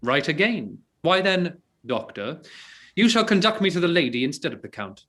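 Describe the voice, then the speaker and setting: A man playing a witty, scheming gentleman. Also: on the high side, sing-song, moderate pace. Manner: arch, theatrical reading. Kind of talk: stage-play reading.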